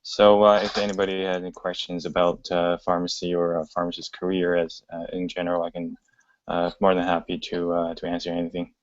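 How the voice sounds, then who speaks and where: A man in his thirties from China, a pharmacist introducing himself on a video call, halting with frequent fillers. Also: low-pitched, completely flat delivery, no echo. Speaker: panelist, pharmacist. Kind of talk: casual self-introduction. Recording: dry, dull lo-fi call audio.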